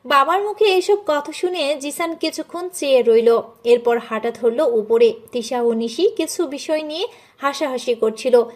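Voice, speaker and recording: A woman's voice: high voice, animated, normal speed. A woman reading a scripted story as its narrator.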